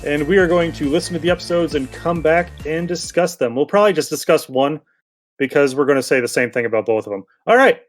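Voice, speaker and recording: A man's voice: a little high, fast talker. A man hosting a podcast, giving a casual, informal introduction. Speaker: podcast host. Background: music.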